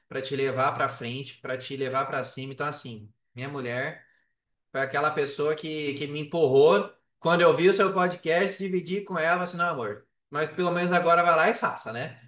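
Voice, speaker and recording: A man's voice: fairly high pitch, fairly bright tone. A Brazilian man telling personal stories casually as a podcast guest, often repeating words and checking in with the listener. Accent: Brazilian Portuguese. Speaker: podcast guest, affiliate marketer. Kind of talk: casual personal storytelling in an interview. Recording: echoey room, distant from the mic.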